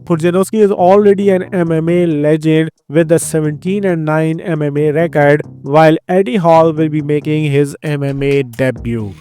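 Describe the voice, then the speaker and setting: A man's voice: on the high side, steady tone, normal speed, few pauses, dark tone. Upbeat Indian-accented man. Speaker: host. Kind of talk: scripted fight-news rundown. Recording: faint background music.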